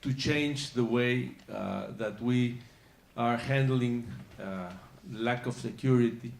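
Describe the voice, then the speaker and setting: Gravelly-voiced Mexican panelist, hesitant delivery, echoey conference room. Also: male voice, mid-range voice. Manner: halting, many uh fillers and restarts. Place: conference hall. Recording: roomy conference hall.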